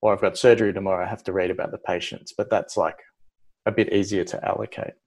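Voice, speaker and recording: An Australian man in his thirties talks as an interview guest. His voice sits low, and he rambles casually, circling back and restarting his thoughts. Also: fast, breathy edge. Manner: relaxed, rambling, restarts and "like" fillers. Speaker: guest, ophthalmology registrar.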